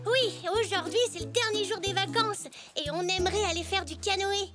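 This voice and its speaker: An actor voicing a cheerful young zebra boy in a children's cartoon, excitable and playful, speaking French. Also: very high voice, moderate pace. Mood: eager. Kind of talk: animated children's cartoon dialogue, French dub. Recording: music behind the dialogue.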